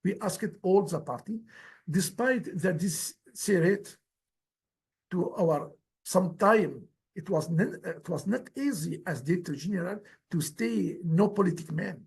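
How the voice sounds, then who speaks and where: Man, kurdish first language, gravelly, high-pitched, pleading tone, halting english. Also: lively intonation, a little mellow. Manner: earnest, pleading, halting phrasing. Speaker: guest speaker, former heritage director. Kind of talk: formal presentation in non-native English.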